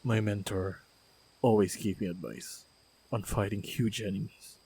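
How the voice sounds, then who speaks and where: A man playing a calm, modest human swordsman and loyal companion in a fantasy action audio roleplay, speaking in measured, low-key short phrases. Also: gravelly, slight background noise, rough recording. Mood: calm, reflective.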